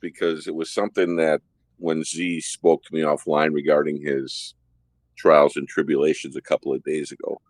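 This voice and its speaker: A man hosting a podcast, telling a detailed story about his undercover work in a steady way. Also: very deep voice, moderate pace, dry recording. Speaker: host, former undercover officer.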